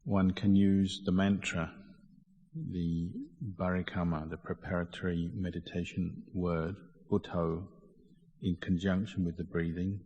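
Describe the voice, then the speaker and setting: Male meditation teacher: very slow, monotone, deliberate instruction, recorded with long hall reverb. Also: low voice, breathy edge, muffled recording. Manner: measured, deliberate phrasing with pauses.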